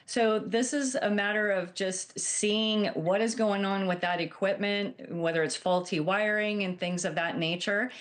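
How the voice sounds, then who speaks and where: A woman airline captain giving measured, earnest explanations as an expert guest in a news interview. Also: medium pitch, fairly even intonation. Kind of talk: broadcast news interview answers.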